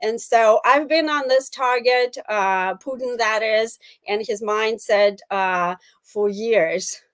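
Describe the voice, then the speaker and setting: A middle-aged woman with a Russian accent, explaining as an expert interview guest in a deliberate, emphatic way with pauses mid-phrase. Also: a little high, fairly bright tone. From Soviet Union. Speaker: guest analyst, former intelligence officer.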